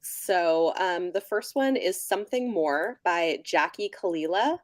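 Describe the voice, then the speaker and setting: A woman's voice: on the low side, lively intonation, unhurried, no echo. A woman talking casually and conversationally about books. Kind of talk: casual book chat.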